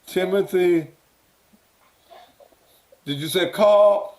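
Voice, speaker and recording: High-voiced man grilling a caller. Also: very slow, darkish tone. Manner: blunt, pressing, repeats questions. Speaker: talk show host. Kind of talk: call-in radio talk.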